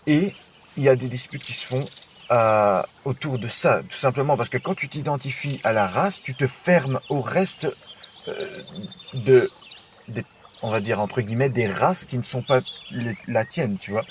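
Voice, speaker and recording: Guy, middle pitch, chatty self-help rambling, faint noise behind. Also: a little slow. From Belgium. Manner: chatty, addresses listener as tu, repeats phrases. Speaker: podcast host. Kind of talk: informal self-help monologue.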